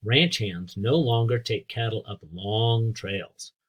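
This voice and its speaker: Slow, husky American man reading aloud. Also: lowish voice. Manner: simple, explanatory, addressing young listeners with questions. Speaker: teacher reading aloud to children. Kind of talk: read-aloud lesson with asides and questions.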